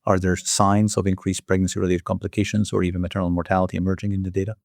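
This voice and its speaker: A man hosting a formal interview, speaking in measured phrases as he delivers scripted introductions and questions. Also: low-pitched, flat delivery, darkish tone, no room sound, studio quality. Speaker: host and interviewer, journal editor.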